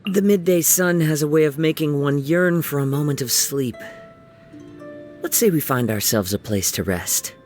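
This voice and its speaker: A man voicing a gentle young wandering samurai in a video game, soft-spoken and reflective, with lyrical, poetic phrasing. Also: on the high side, lively intonation, moderate pace, natural voice. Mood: serene. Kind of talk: video game character voice lines. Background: music.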